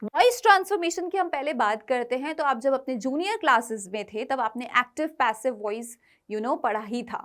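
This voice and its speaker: A woman's voice: high voice, expressive, moderate pace, fairly bright tone. A woman with an Indian accent teaching an exam-prep lesson in an instructive, emphatic way, repeating points and checking that students follow. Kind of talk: classroom-style lesson, mixing explanation with read-out tips.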